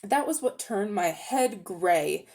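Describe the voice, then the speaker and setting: A woman's voice: medium pitch, lively intonation, slow pace, darkish tone. A woman voicing a vain, pompous old uncle who dabbles in magic, in a storybook reading. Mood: self-satisfied. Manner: pompous, self-important, easily affronted.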